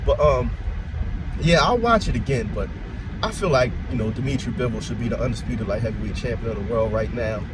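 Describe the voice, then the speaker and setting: A man's voice: mid-range voice, a little fast, heavy background noise. A middle-aged man recovering from a cold, his voice cracking, rambling through a casual boxing commentary and restarting sentences as he goes. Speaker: boxing channel host.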